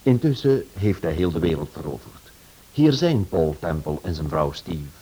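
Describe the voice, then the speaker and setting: Man, very low husky voice, animated intonation, scripted radio introduction. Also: normal speed, rough recording. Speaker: radio drama director introducing a series.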